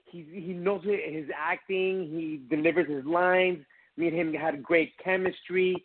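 A man raised in Miami by Cuban parents, an actor guest chatting casually in an interview, friendly and halting with many restarts. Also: fairly high pitch, steady tone. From Miami, Cuban American. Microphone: phone call. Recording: tinny phone line.

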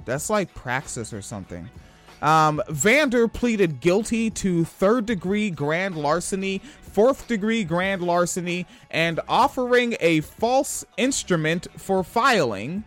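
High-voiced profane man riffing on news. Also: very animated, slow pace, fairly bright tone, soft background music. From Washington State. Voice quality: high-pitched voice. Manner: profane, rambling, laughs often. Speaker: podcast host. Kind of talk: casual commentary reading news.

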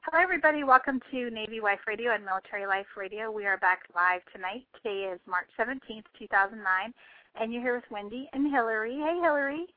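A woman with a slightly raspy, under-the-weather voice, chatting casually as a radio host calling in. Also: on the high side, fast. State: recovering voice, prone to coughing. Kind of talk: casual live chat. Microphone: calling in by phone. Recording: dull, dry call-in audio.

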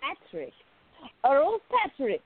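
A woman playing a playful, affectionate young artist in love in a radio play, with a teasing, expressive delivery. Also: sits high, slow pace, slight background noise, full character voice. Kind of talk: amateur radio play acting. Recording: thin phone-like audio, dry.

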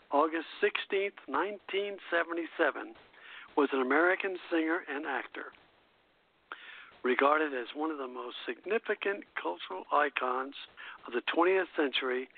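Man with creaky voice on phone-like line. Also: fairly high pitch. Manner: halting reading, stumbles over words and corrects himself. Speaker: radio show host. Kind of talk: reading aloud a scripted music history. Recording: muffled phone-like internet radio line.

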